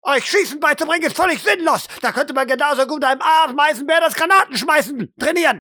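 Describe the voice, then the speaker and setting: A brutal drill sergeant barks in a strained high, bright voice. He is contemptuous and foul-mouthed, hurling commands at recruits in a role-play audio drama, recorded close and dry. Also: fast talker, dry recording. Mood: scornful, threatening. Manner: barking, insulting, commanding.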